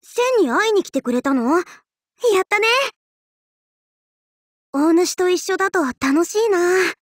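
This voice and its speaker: A woman voicing a cheerful, teasing young festival-loving swordswoman in an anime mobile game, with a bubbly, playful, drawn-out delivery. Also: extremely high pitch, expressive, no echo, clean studio sound, heavily acted.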